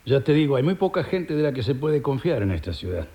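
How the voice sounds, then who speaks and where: Give the role of a powerful boss in a radio play. His voice is mellow and sits at an average male pitch. He is polite in a patronizing, unhurried way and slips in impatient asides. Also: sing-song, vocal fry. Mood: condescending, controlled. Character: powerful, smooth-talking male crime boss, condescending host. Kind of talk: German radio drama acting, with Spanish asides.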